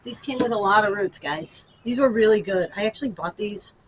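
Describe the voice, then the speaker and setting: A woman's voice: medium pitch, fairly quick, a little hiss or hum, poor audio. A woman talking informally to viewers as a gardening vlogger, thinking aloud through a hands-on demonstration.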